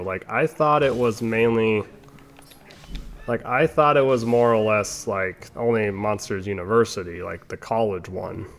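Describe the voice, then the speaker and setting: A young man casually commenting on his game playthrough as host, rambling through chatty side stories with lots of ums and restarts while calmly guiding the viewer. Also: on the low side. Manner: relaxed, laid-back guiding of the viewer. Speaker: gaming channel host. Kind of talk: casual let's-play commentary.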